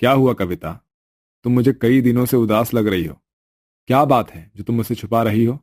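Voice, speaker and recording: Man playing gentle, mellow-voiced young husband. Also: mid-range voice, normal speed, no room sound, clean studio sound, close to an everyday voice. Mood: caring. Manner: caring, soothing.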